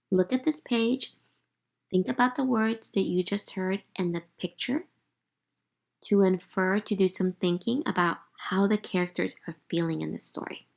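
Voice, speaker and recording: A woman's voice: mid-range voice, gravelly. A woman teacher leading a read-aloud lesson for young readers, instructive and speaking directly to her listeners. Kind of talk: read-aloud lesson, storybook narration and guided questions.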